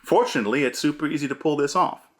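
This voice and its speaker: A man's voice: a little high, quick pace. A man hosting a scripted tabletop gamemastering lesson, speaking in an emphatic, theatrical, punchy way. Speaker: host, game master giving advice.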